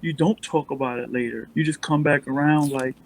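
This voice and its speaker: A man with a mellow voice, a guest on a casual podcast, speaking in a calm, measured and reflective way. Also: a little high, gravelly, a little hiss or hum, rough recording.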